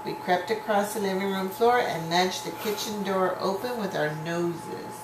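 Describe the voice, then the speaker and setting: A woman reading a children's story aloud with deliberate, dramatic phrasing. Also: mid-range voice. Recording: echoey room with clear background noise.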